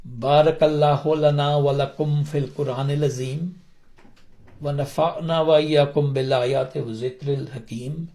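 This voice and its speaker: A man's voice: on the high side, fairly even intonation, rapid-fire, mellow. A man giving a formal religious recitation of a closing prayer.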